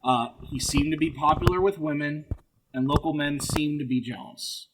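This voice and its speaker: A man's voice: a little high, moderate pace, background noise. A man hosting a casual comedy history podcast, explaining things in a wry, sweary way. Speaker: podcast host leading the episode.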